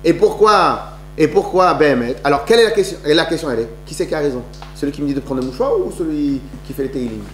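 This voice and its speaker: Conférencier, voix un peu haute, français, salle réverbérante avec léger bourdonnement. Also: male voice, fairly high pitch, fast, hardly any pauses. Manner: lively storytelling, many restarts and rhetorical questions. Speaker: lecturer. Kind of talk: religious talk to an audience. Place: lecture hall. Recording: echoey room with faint hum.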